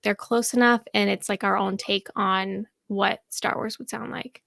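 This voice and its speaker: A woman's voice: medium pitch, steady tone, normal speed, vocal fry, a bit bright, no echo, clean studio sound. A woman chatting casually and enthusiastically as a guest producer in an interview. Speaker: audio drama producer, podcast guest.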